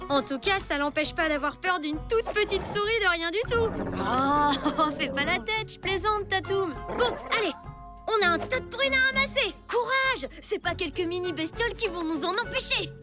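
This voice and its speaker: A woman's voice: very high-pitched, animated, moderate pace, over loud music. A woman voicing a spirited, loyal child in a French cartoon, reassuring and playful. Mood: cheerful. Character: spirited, loyal young child, friend of a dinosaur. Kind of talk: French cartoon dub acting.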